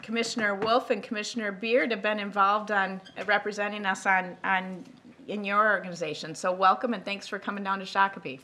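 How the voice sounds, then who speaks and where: A woman's voice: medium pitch, steady tone, fairly quick, pushed and bright, a little hiss or hum. Woman chairing a county board meeting. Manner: welcoming, procedural.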